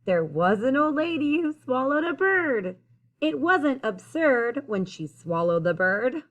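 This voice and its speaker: A woman teacher reading a picture book aloud to young children in an expressive, sing-song, encouraging way. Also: high-pitched, a little slow. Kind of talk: children's story read-aloud, addressing young listeners.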